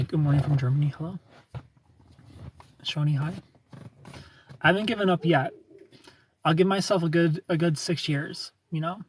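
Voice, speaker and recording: A man's voice: fairly high pitch, husky. A man in his thirties hosting a casual livestream, reading viewer comments aloud, joking about himself and laughing often. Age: 30s. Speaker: livestream host.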